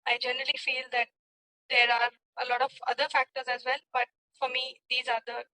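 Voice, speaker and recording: A woman's voice: high-pitched, flat delivery, a little fast, bright, forceful tone, low-quality recording. A woman practising for a speaking test as a student, giving earnest, somewhat hesitant interview-style answers.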